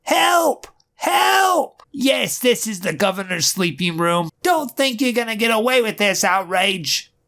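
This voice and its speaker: A man voicing an indignant male butler who panics easily, talking in a shrill, flustered, shouting way. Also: very animated, takes it slowly, vocal fry, few pauses, exaggerated voice. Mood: panicked.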